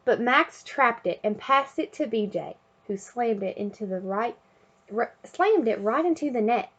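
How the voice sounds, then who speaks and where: A woman reading a children's picture book aloud in an expressive way, chanting refrains and sound words. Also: on the high side, unhurried, husky, lo-fi sound. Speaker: storybook reader.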